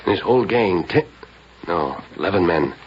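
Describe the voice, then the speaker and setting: Heroic masked rider, very low pitch, earnest authority, crisp delivery, muffled vintage radio drama. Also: male voice, lively intonation, moderate pace. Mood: grave, confident. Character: noble, commanding masked cowboy hero of a western. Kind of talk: 1940s radio western acting. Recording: muffled, hissy 1940s radio recording.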